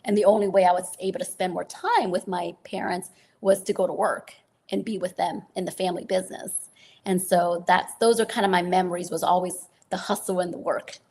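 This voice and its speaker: A woman's voice: on the low side, steady tone, a little fast, slightly rough. A woman interview guest, talking in a rambling, laughing way about her early life. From born in the Philippines to Vietnamese parents. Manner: warm and laughing often, rambling restarts. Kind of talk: interview storytelling.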